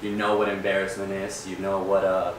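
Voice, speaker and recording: A man's voice: low voice, completely flat delivery, fairly quick. A young man from Peru, a real estate agent guest, telling his story in an earnest, reflective way. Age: young adult. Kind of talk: casual interview. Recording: echoey room, far from mic, noisy.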